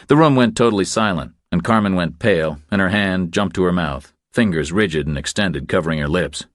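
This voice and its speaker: A man's voice: low-pitched, fairly quick, dry recording, clean studio sound. A man reading scripted thriller fiction as an audiobook narrator.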